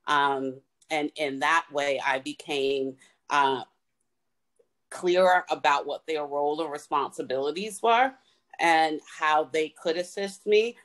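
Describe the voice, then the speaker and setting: A middle-aged woman farmer on a video call webinar, explaining her experience in a reflective, measured way. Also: low voice. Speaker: farmer panelist. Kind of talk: webinar panel answer. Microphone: video call webcam.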